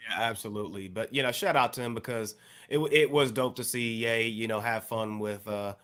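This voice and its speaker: A man co-hosting a casual podcast discussion, speaking in a measured, halting way as he builds his points. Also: mid-range voice, fairly bright tone. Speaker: podcast co-host.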